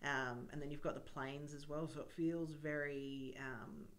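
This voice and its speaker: Woman, 30s, low pitch; effusive interviewer. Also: steady tone, unhurried. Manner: effusive, gushing praise with many fillers. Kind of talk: friendly interview. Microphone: table mic on a stand.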